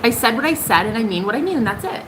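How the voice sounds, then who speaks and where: A young woman hosting a casual tarot livestream, sassy and emphatic, jumping high in pitch for punchlines. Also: animated, very fast, noisy background.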